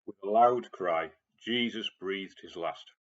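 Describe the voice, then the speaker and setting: A man's voice: deep voice, takes it slowly. A man narrating a dramatic scripture reading, on a dull lo-fi recording. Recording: dull lo-fi sound.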